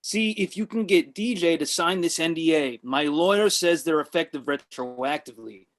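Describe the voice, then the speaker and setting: Contrarian big brother, played by a man, slightly high male voice, grumpy and bossy, comedy table read. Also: normal speed, natural voice. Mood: bossy, sulky. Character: grumpy, skeptical older brother, insecure podcaster.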